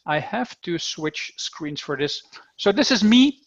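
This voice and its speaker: A man's voice: high-pitched, animated, measured pace, fairly bright tone, dry recording, dull audio. A man from the Netherlands with a Dutch accent, teaching an online coding class to children in a chatty, upbeat way over a video call. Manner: upbeat, chatty, talks to kids directly.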